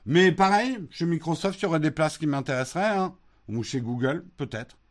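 A man hosting a morning live stream, chatting casually through viewer questions. His voice sits a little higher than most men's. He talks in a relaxed, meandering way, often pausing on fillers and restarting his sentences. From France. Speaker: host of a morning live show answering chat.

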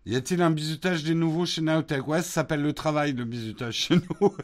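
A man from France hosting a casual morning live stream, answering viewer questions in a relaxed, hesitant way with many fillers. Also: on the high side, moderate pace. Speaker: host of a morning live show answering chat.

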